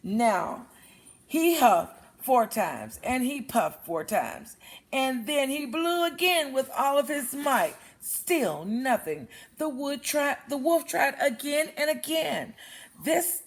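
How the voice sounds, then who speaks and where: Slow-paced woman reading a children's story. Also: high-pitched, sing-song. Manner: explains words with questions to young listeners. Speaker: read-aloud storyteller.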